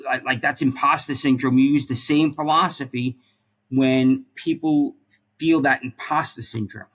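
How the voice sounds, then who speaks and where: A man's voice: medium pitch, fairly even intonation, measured pace, a bit bright, slightly rough. A middle-aged man, a former police officer and firefighter, talking as a podcast guest in an earnest way, often repeating words and adding "like" and "right?". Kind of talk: casual interview conversation.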